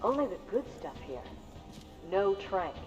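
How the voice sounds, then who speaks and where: A grumbling game proprietor of a rough dive, brisk and nagging. His voice sits high for a man, with hall reverb and music around it. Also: very animated, lo-fi sound. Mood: annoyed. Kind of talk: video game cutscene acting.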